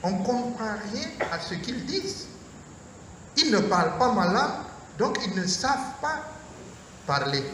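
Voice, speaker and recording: Slow, high-voiced priest in echoey hall. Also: male voice, sing-song. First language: Mooré. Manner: slow, deliberate, emphatic phrasing in short measured phrases. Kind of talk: Lenten lecture sermon. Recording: echoey hall from far off, faint noise.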